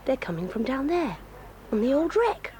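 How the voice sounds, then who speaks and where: Bold tomboy girl, high lively voice, eager whispery, old story tape. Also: moderate pace. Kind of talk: 1980s radio-style audio drama.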